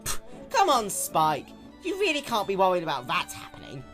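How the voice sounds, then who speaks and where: A woman acts out a brash tomboy pegasus from a cartoon fan story. The voice is pushed high and jumps around with excitable, teasing cockiness. The delivery is slangy and full of exclamations. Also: normal speed, soft background music. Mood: dismissive. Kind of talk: dramatic fan-fiction reading.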